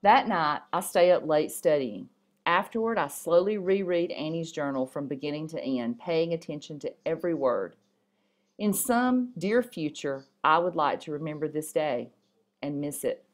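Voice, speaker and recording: A woman with a fairly low voice reads aloud as if from a storybook. Her delivery is expressive, with a small stumble now and then. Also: moderate pace. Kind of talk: reading a story and poem aloud.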